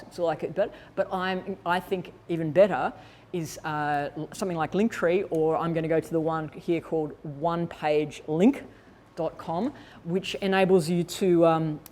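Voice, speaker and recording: A woman's voice: on the low side, darkish tone. A woman presenting a workshop in an informal, conversational way, explaining and thinking aloud as she demonstrates. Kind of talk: informal instructional talk to attendees.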